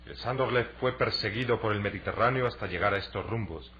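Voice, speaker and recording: A muffled, lo-fi radio drama recording of a young adventurer with a fairly low voice. He speaks Latin American Spanish in an earnest, persuasive way, laying out his case deliberately. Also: bright, forceful tone, a little hiss or hum. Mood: explanatory. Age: young adult. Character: earnest young athletic adventurer selling a treasure hunt.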